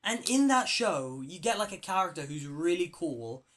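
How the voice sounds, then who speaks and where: A man's voice: high voice, animated, moderate pace, a bit bright. Rambling male podcast host. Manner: rambling, many fillers and restarts. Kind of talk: casual film discussion.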